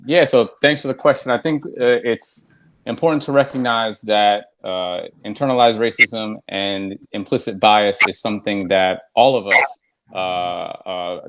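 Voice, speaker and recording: Measured, formal-sounding man. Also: on the low side. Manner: measured, formal vocabulary with "uh" pauses. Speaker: panel guest. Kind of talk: academic-sounding panel answer.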